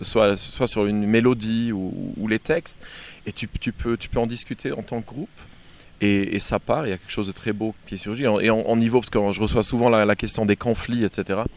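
Low voiced guy being interviewed, casual, kinda halting, repeats words a lot. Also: fairly even intonation. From born in Algeria. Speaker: interview guest, band singer.

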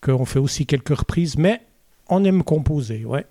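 Mellow-voiced Swiss musician, Vaud accent. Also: male voice, on the high side, expressive, normal speed, no echo, clean studio sound. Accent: Vaud Swiss French. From Switzerland. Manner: hesitant, many "euh" fillers, chatty storytelling. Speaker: musician guest. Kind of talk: casual interview chat.

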